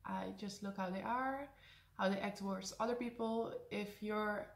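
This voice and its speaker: A young Dutch woman with a high voice and a Dutch accent, chatting casually through a Q&A, laughing often and slipping in sarcastic asides. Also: normal speed, creaky. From Netherlands. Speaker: vlogger. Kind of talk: casual Q&A to camera. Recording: echoey room.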